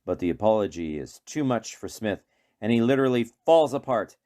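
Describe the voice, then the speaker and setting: A man's voice: lowish voice, lively intonation, brisk, mellow. A man reading scripted narration for a radio play in a dramatic storytelling manner.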